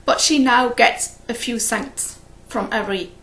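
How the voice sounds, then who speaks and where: A woman's voice: a little high, measured pace, a bit bright. A woman hosting a cross-stitch video, chatting casually and rambling, often laughing at her own stories. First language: English. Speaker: flosstube host. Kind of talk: casual chat to camera.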